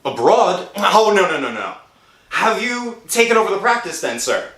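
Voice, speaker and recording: A man playing an anxious, guilt-stricken working-class husband in an old-time radio thriller, speaking in a halting, dramatic way. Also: high voice, sing-song, pushed and bright, heavily acted. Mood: distressed. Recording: roomy echo, faint music behind.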